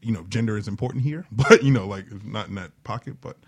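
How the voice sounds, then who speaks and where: Gravelly, lowish-voiced man chatting reflectively. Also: fast. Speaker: panelist on a discussion show. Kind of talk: casual, reflective discussion.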